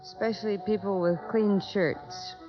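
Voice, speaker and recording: An American actress playing a tough, guarded young petty crook in a 1950s radio drama, slangy and flippant. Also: female voice, medium pitch, fairly even intonation, normal speed, close to an everyday voice. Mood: defiant, guarded. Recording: dull vintage radio recording, faint music.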